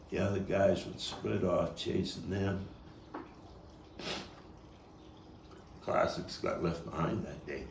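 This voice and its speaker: A man performing a dramatic stage monologue as a vengeful young gang member, profane and intense, halting and repeating his words. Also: low voice, gravelly. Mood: brooding, tense. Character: volatile, vengeful male street gang youth recounting a bloody fight. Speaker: actor and playwright performing his own monologue. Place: echoey room, table read. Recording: echoey, noisy room, distant mic.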